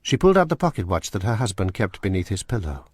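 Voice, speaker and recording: Low-voiced male radio drama narrator. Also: sing-song, slightly rough, dry recording, studio quality. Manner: measured, dramatic storytelling. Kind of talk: scripted narration.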